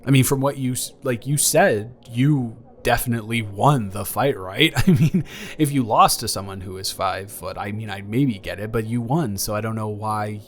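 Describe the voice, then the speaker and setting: A man's voice: lowish voice, normal speed, lightly acted. A man playing an awkward, affectionate young ex-boyfriend in an improvised intimate audio roleplay, talking in a hesitant, playful, earnest ramble. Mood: teasing, curious.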